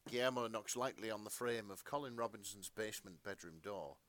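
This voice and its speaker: A man's voice: on the low side, brisk, fairly bright tone, no room sound. A man co-hosting a podcast, narrating fan fiction melodramatically with casual asides.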